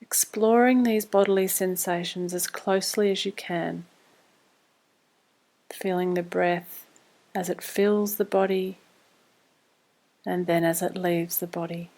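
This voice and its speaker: A woman leading a guided body scan meditation, giving scripted instructions in a calm, measured, soothing way. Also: fairly low pitch, poor audio.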